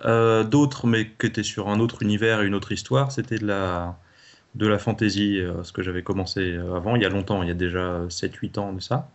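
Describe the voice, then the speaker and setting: A man's voice: lowish voice, fairly even intonation, fairly quick. Hesitant man in casual interview, slightly dull sound. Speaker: interview guest, amateur novelist.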